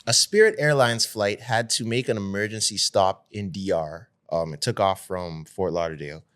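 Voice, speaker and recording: A man co-hosting a casual podcast chat, telling stories in an animated way and acting out the sound effects himself. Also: fairly low pitch, moderate pace. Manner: animated storyteller who acts out sound effects. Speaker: podcast co-host.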